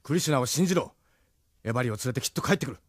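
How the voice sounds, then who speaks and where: An actor playing a steady, fatherly captain in an audio drama, blunt and reassuring. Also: mid-range voice, very fast, husky, no echo, clean studio sound. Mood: reassuring, grim. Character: steady, fatherly male captain of a resistance crew. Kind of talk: Japanese audio drama acting.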